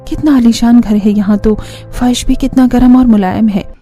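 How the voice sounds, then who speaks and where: A woman voicing a nervous young new bride from a family cartoon. Her voice sits high and soft-edged, worried and apologetic, with music playing behind it. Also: fairly even intonation, moderate pace, lightly acted. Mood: amazed, wary. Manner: apologetic, worried, wide-eyed. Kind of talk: cartoon family story dialogue.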